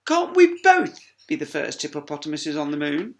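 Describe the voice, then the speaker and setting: A woman's voice: low-pitched, sing-song, normal speed. A woman voicing an eager, big-dreaming female hippo adventurer in a children's storybook, earnest and exclaiming. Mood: apologetic.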